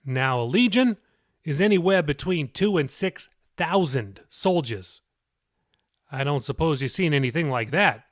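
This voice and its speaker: A man's voice: a little high, animated, a little slow, dry recording, clean studio sound. A man voicing an earnest male pastor in an audiobook, reasoning aloud and teaching from scripture. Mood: earnest, thoughtful.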